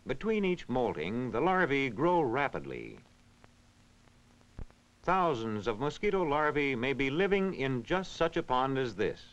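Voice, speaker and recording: An old, muffled film soundtrack carries a man's narration. His pitch is mid-range and moves in wide, animated swings. He explains in a measured, instructional manner with careful diction, in the style of a vintage classroom film. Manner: measured, instructional, clearly enunciated. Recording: dull, band-limited vintage film soundtrack.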